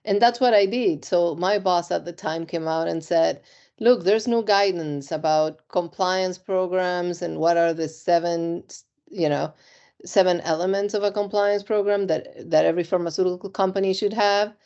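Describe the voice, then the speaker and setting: A middle-aged woman from Argentina, interviewed about her career, speaks in a measured, reflective way with frequent ums. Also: on the low side, warm tone, lo-fi sound. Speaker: compliance executive, interview guest.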